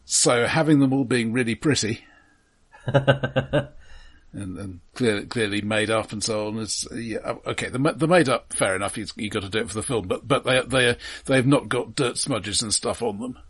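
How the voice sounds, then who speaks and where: A man co-hosting a film podcast, giving casual criticism in a measured, analytical way and qualifying his points as he goes. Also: medium pitch, a bit bright, breathy edge.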